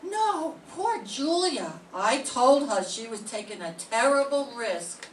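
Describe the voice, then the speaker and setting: A woman's voice: fairly high pitch, sing-song, slow. An older woman playing a nervous, streetwise young cannery worker in a radio drama, chatty and wary. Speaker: amateur radio drama performer. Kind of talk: live reading of a 1940s radio drama. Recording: echoey room, distant mic, faint noise.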